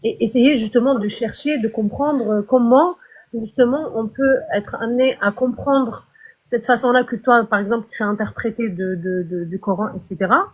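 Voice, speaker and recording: A woman with a husky, slightly high voice argues her side over an online live-stream call. She keeps stumbling and restarting her words, yet stays earnest and insistent. The talk is loose and conversational. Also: normal speed, rough recording. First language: Arabic. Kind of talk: heated casual debate.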